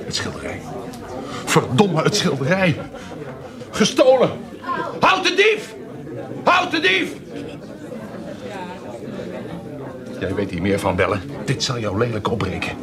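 An actor playing a vengeful, hot-tempered official in a Dutch radio drama, theatrical and threatening. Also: male voice, medium pitch, wildly swooping pitch, a little slow, long pauses. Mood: alarmed, furious. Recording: loud crowd chatter behind.

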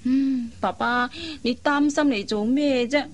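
A woman playing a kind, persuasive young elder sister in a Cantonese radio drama, coaxing and teasing in drawn-out phrases. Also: sits high. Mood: playful, fond.

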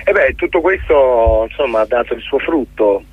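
A middle-aged man from the Middle East, a theatre director being interviewed, explaining his work haltingly and searching for words. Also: mid-range voice, lively intonation, husky, no room sound. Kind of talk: radio interview, explaining a production. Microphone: telephone call. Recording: thin, phone-like line.

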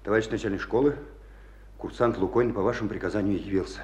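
An actor playing a disciplined, self-assured young tank cadet in a radio drama, answering a superior with military formality. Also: male voice, fairly low pitch, fast talker, darkish tone, natural voice. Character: young male tank cadet, disciplined, stubbornly sure of himself. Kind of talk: Russian radio drama acting.

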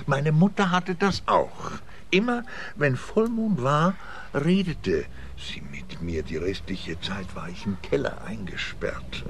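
Weird pompous shrink in a radio play, slow, swoopy theatrical delivery, bit of background noise. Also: male voice, medium pitch, big pitch swings, gravelly, fairly bright tone, exaggerated voice. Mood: odd, wistful. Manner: theatrical, oddly solemn, halting, drops into whispers. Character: eccentric, self-important male quack psychologist and healer.

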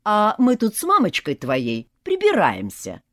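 A woman voicing a scheming, fake-sweet old grandmother who is part snake, cooing and then shrill. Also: wildly swooping pitch, unhurried, fairly bright tone, dry recording, clean studio sound. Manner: cooing, syrupy, turns to shrill wailing.

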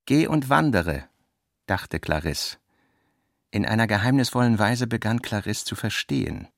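Guy narrating a literary radio piece, low creaky voice, super dry sound. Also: no echo. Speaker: audio drama narrator.

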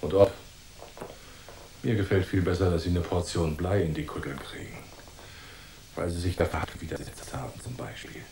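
Bullying cop, lowish voice, sneering threats, hot-tempered, noir radio play, echoing room. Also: male voice, steady tone, normal speed, pauses often, noisy background. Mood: menacing. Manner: sneering, threatening, gruff-spoken. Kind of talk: noir radio drama acting.

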